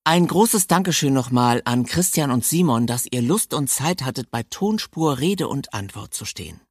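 A man's voice: mid-range voice, animated, normal speed, husky. A man hosting a podcast, speaking in a conversational interview style. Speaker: podcast host.

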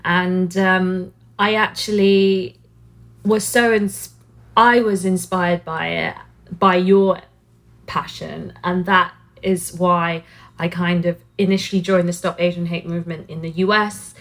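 A woman's voice: on the low side, level tone, unhurried, a bit bright. A woman interviewing a guest in a casual, earnest way, using "like" often as she talks. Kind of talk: conversational interview.

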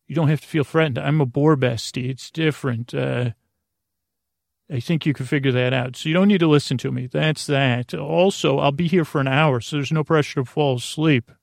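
An American man with a heavily creaky, gravelly voice hosts a bedtime podcast. He meanders through his monologue, stumbling over words and letting thoughts trail off. The sound is dry, with no room around it. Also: mid-range voice, no room sound. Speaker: podcast host. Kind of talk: rambling bedtime monologue to help listeners sleep.

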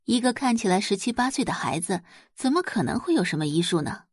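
Lady narrating a drama audiobook, really animated, bit breathy husky, super dry recording. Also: medium pitch, a little fast, no room sound. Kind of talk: scripted dramatic novel narration.

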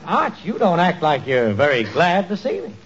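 A man's voice: a little high, wildly swooping pitch, moderate pace. An actor playing an effusive, wheedling, falsely chummy male con man in 1940s radio comedy style. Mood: gushing, falsely chummy. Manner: effusive, theatrical, ingratiating. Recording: muffled, hissy 1940s radio recording.

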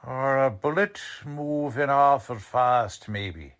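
A man playing a shrewd, suspicious investigator in a 1940s-style radio drama, questioning deliberately in colloquial, ungrammatical speech. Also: medium pitch, a bit bright. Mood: suspicious, probing. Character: shrewd streetwise male investigator, quietly suspicious.

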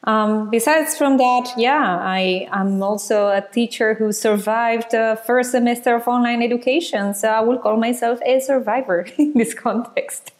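A woman's voice: a little high, normal speed, hardly any pauses. A woman from Ecuador, a university lecturer speaking conversationally as a podcast guest. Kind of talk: conversational interview talk.

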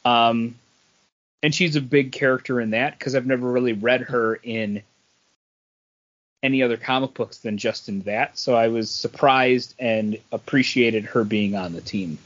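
A man's voice: mid-range voice, steady tone, unhurried, fairly bright tone, highs cut off. A man co-hosting a podcast, giving his take in casual, rambling review chat as he thinks aloud. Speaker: podcast co-host reviewing comics.

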